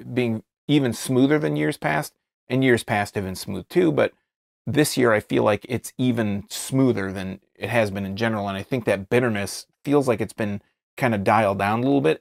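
An American man hosts a casual review, talking off the cuff in a voice with noticeable vocal fry. He often restates himself as he searches for the right description. The sound is very dry, with hardly any room in it. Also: medium pitch, no echo. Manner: halting, repeats and rephrases as he describes. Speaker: beer reviewer, video host. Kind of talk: casual unscripted review to camera. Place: small indoor studio, seated at a table.

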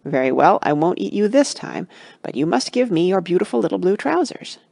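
A woman's voice: on the low side, very animated, a little fast, vocal fry, darkish tone, no echo, clean studio sound, heavily acted. A woman voicing a menacing, boastful, greedy male tiger in a children's storybook. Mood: grudging, demanding.

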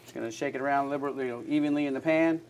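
A man's voice: mid-range voice, steady tone, darkish tone. A man, a fire captain, showing a recipe in a station kitchen, talking plainly and answering with "yes, sir". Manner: brisk, plain, says "yes, sir" often. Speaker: fire captain cooking on a show. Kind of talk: casual cooking demonstration.

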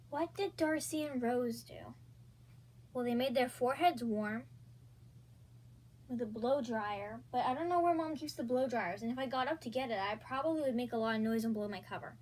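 A woman doing a whiny scheming schoolgirl. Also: a little high. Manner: pleading, excitable, theatrical fake coughing. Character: whiny schoolgirl pleading, then faking sick. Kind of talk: comedy skit acting.

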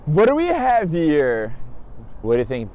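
A man's voice: fairly high pitch, huge pitch swings, measured pace, a little mellow, slight background noise, poor audio. A young man from Brazil with a Brazilian accent, chatting playfully and teasing while vlogging on city streets into a handheld camera. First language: Portuguese. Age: young adult. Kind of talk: casual travel vlog chat. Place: outdoors on city streets and in a car. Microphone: handheld camera at arm's length.

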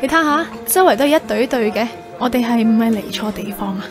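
A friendly, chatty young woman played by an actress with a slightly high voice and a coy manner speaks Hong Kong Cantonese in a radio drama, with music clearly behind her. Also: quick pace, lightly acted. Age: young adult. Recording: music bed underneath.

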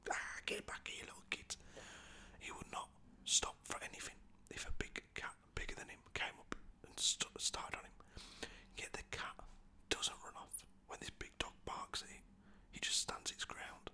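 A man from Lancaster, softly whispering rambling stories about pets as a dog walker. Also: normal speed. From Lancaster, England. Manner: soft whispering, rambling and chatty. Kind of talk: whispered ASMR storytelling.

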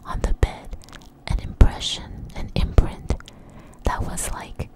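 A woman telling a story in a soft, breathy ASMR whisper, close to the mic, in a conversational, hesitant way. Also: takes it slowly, low-quality recording.

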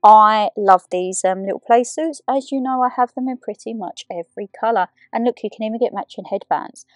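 A young woman with a slightly croaky voice, chatting in a rambling, giggly way as a shopping vlogger over a voiceover. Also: a little high, brisk, no room sound. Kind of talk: chatty vlog talk and voiceover.

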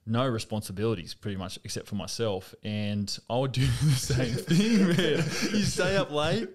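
A man, hosting a casual sit-down chat in a small studio, easygoing and quick to laugh. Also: mid-range voice. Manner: easygoing, chatty, laughs often. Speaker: podcast host. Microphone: studio mic on desk stand with headphones.

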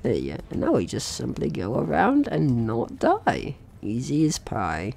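A man's voice: medium pitch, wildly swooping pitch, unhurried, gravelly, darkish tone, slight background noise. A man giving casual let's play commentary, chatty and jokey, talking to himself and the game's enemies. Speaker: gaming channel host.

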